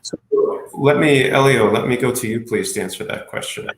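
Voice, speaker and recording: A man moderating a video call panel in a brisk, courteous way. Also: medium pitch. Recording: echoey room on a video call.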